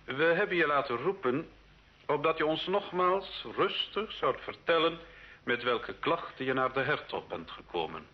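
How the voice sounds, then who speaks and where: An actor playing a cold, scheming medieval bishop in a radio play, suave and commanding. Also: male voice, a little high, animated, moderate pace, lightly acted. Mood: stern, impatient. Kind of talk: Dutch-language radio play acting. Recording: dull, lo-fi old radio recording.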